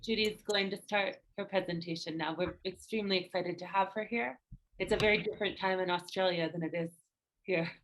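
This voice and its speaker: A woman's voice: on the low side, steady tone, a little fast, fairly bright tone, a little hiss or hum, poor audio. A woman hosting an online seminar, thanking participants and introducing the next presenter. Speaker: session host. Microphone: video call.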